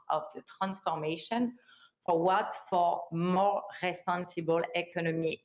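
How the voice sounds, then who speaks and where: A woman hosts an online forum conversation in an eager, unhurried way. Her English has non-native phrasing. The sound is roomy and dull, like a lo-fi call. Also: lowish voice. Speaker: host.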